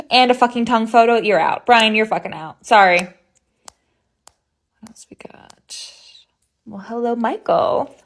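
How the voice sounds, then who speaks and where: Exasperated woman ranting with high swoops. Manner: exasperated, swearing, rapid jokes. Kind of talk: comedic dating app rant.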